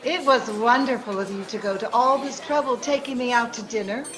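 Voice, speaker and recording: Woman playing radio-play femme, flirtatious, wistful, fairly high voice, faint crowd behind. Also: a little fast, a bit bright, lightly acted, poor audio. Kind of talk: 1940s radio drama acting.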